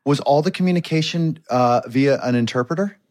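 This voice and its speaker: A man co-hosting a casual interview, playful and quick to joke. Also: mid-range voice, a little mellow. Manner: playful, laughs and jokes a lot. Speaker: interview host.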